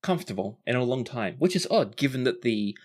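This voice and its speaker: A man playing a gentle, easygoing adventurer with an accommodating manner in a tabletop game. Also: medium pitch, expressive, fairly quick, close to an everyday voice. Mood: pleased, curious.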